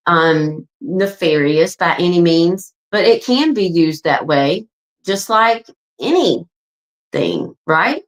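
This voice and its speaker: Earnest chatty woman in her forties. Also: medium pitch. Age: 40s. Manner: earnest, chatty, addresses viewers as folks; frequent ums and "right?" tags. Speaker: astrology and wellness coach. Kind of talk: casual direct-to-camera promo talk.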